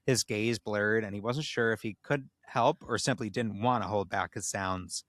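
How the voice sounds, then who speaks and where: A man's voice: on the low side, normal speed, a bit bright, dry recording, studio quality. A man co-hosting a comedy podcast, reading a story aloud in dramatic narration. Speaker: podcast co-host. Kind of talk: comedic dramatic reading of erotic fan fiction.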